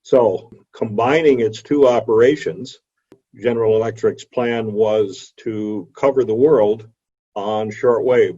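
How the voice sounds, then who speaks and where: Low, mellow male lecturer on a dull call. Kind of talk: illustrated history lecture. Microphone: video call. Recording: dull, lo-fi video call audio.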